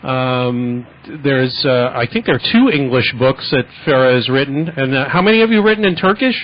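A man hosting a radio interview show, talking casually and relaxed, with many fillers and hesitations. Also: mid-range voice, normal speed. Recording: muffled lo-fi radio recording with faint hiss.

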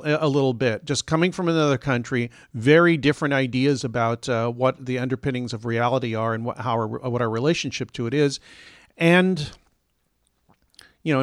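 A man hosting a casual podcast conversation, relaxed and thinking aloud. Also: mid-range voice, dry recording, studio quality.

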